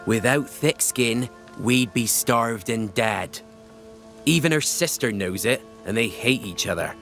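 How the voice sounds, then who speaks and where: Slow, dour suspicious gatekeeper. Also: male voice, medium pitch, creaky, fairly bright tone, soft background music. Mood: foreboding. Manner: sardonic, foreboding. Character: gruff, suspicious male village gatekeeper, dour and grudging. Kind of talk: dramatic game cutscene acting.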